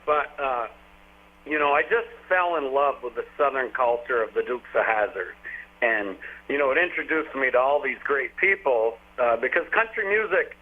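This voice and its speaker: A man's voice: medium pitch, animated, normal speed. A man in his forties from Vermont, a country singer telling stories in a casual, rambling way with lots of fillers. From Vermont, USA. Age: 40s. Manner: rambling, folksy storytelling with frequent fillers. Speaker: country singer, interview guest. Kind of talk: casual phone interview. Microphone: telephone. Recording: tinny phone line.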